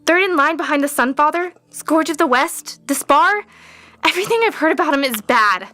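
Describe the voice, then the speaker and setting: A woman's voice: high voice, lively intonation, moderate pace, bright, forceful tone, faint music behind. A woman playing a proud, plucky young heroine, earnest and easily indignant, in an audio drama. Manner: earnest, indignant, self-assured.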